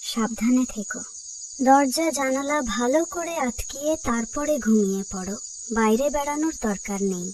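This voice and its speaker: A woman's voice: high voice, moderate pace, darkish tone, recorded from a distance, slight background noise, lightly acted, rough recording. A woman playing a caring but nagging, anxious village wife in a cartoon folk tale. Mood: worried. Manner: fussing, admonishing.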